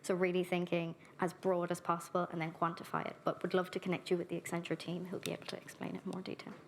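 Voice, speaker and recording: A woman's voice: on the low side, monotone, vocal fry, a little hiss or hum. A woman speaking earnestly at a conference, giving a keynote and then answering audience questions on a handheld microphone. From Ireland. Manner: earnest, warmly direct, brisk with lists. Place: conference hall.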